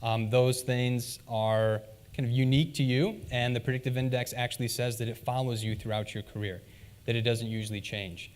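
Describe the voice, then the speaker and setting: A man giving a relaxed, conversational conference talk on stage and leading audience questions, with frequent "um"s. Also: mid-range voice, normal speed. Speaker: conference presenter.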